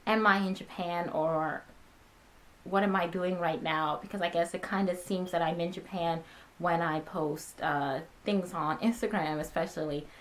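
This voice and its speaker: A woman's voice: lowish voice, fairly even intonation, normal speed. A woman in her twenties, born in Ghana and raised in America, answering viewer questions in a casual, chatty talking video with many ums and uhs. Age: 20s. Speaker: vlogger.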